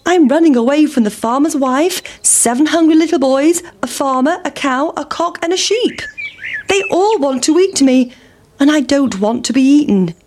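A woman voicing a plucky, excitable runaway pancake in a children's storybook, with emphatic exclamations. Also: high voice, normal speed, voice pushed up. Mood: fretful.

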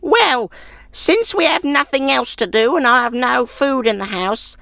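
A woman's voice: high voice, expressive, a little slow, creaky, fairly bright tone, no room sound, studio quality, exaggerated voice. A woman voicing a sour, grudging, sceptical old peasant woman in a folk tale, with a scornful, snappish manner. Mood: grudging curiosity.

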